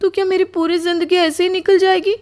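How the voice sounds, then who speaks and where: A woman acts out a young newlywed wife in a cartoon melodrama. The voice is extremely high and plaintive, full of weary complaint at being put upon. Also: little pitch movement, brisk, a little mellow. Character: exhausted, put-upon young newlywed bride, aggrieved and tearful. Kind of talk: melodramatic cartoon story acting.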